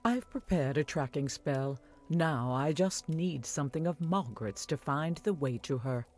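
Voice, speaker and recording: An actress playing an older village wise woman in a video game, caring and earnest, giving measured instructions. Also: low-pitched, lively intonation, a little mellow. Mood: earnest, urgent. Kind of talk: scripted video game dialogue. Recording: music behind the voice.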